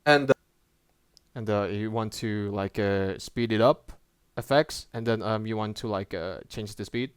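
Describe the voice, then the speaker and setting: A man presenting a casual app tutorial as a voice-over, with halting, informal and hesitant phrasing. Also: fairly low pitch, clear, resonant tone, no room sound, studio quality.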